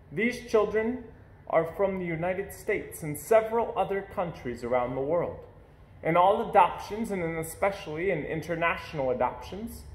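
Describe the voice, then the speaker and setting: A man reading a children's picture book aloud in an expressive storytelling style. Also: a little high, roomy sound, rough recording.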